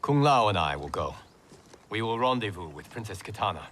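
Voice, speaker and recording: A man's voice: on the low side, very animated, brisk, fairly bright tone, slight background noise. A man playing an earnest, dutiful martial arts hero in a video game, serious and urgent.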